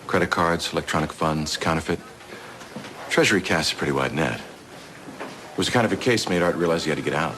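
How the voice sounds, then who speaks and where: An actor playing a blunt, seasoned Treasury boss in an audio drama, terse and plain-spoken. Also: male voice, a bass voice, fairly quick, pauses often, noisy background. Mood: grave, candid.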